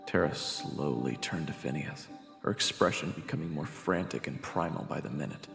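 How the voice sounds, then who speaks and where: A man's voice: normal speed, a bit bright, roomy sound, long reverb tail, exaggerated voice. A man playing a grave, wary first-person narrator in a fantasy audio drama. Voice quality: deep, gravelly. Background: music.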